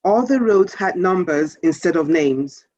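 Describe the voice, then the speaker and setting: A woman author reading a children's story aloud in a measured, expressive storytelling manner. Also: lowish voice, no room sound, highs cut off.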